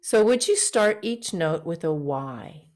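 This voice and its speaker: A woman's voice: lively intonation, measured pace, a little mellow. Woman vocal coach teaching on a call. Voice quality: fairly low voice for a woman. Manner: explains briefly, then sings short demonstration notes. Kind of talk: online voice master class. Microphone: video call.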